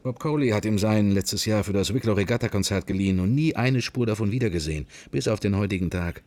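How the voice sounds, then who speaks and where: A man's voice: on the low side, fast, a little mellow, no room sound, natural voice. An actor playing a man's wandering, wry and melancholy inner thoughts in fragments, for a radio drama. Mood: wry musing. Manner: murmured, fragmentary, introspective. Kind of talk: radio drama interior monologue.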